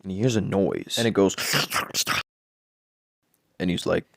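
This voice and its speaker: A man improvising a crude bedtime story in a deadpan, rambling way, with lots of swearing and repeated words. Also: deep voice, unhurried, gravelly, dry recording, clean studio sound.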